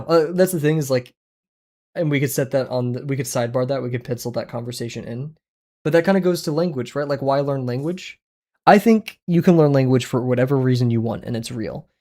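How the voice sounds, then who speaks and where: Hombre joven charlando casualmente en directo. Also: male voice, medium pitch, dark tone. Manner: rambling, conversational, frequent fillers, self-deprecating. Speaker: art streamer. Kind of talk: casual livestream chat while drawing. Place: small home room, indoors. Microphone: headset with boom mic.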